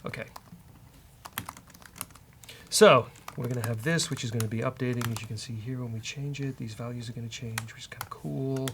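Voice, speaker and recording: A man talks through live coding in a casual, joking way, as a host thinking aloud. His voice has a gravelly creak and sits at a middling pitch, with typing, clicks and faint noise around it. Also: steady tone, a little fast, pauses often, a bit bright.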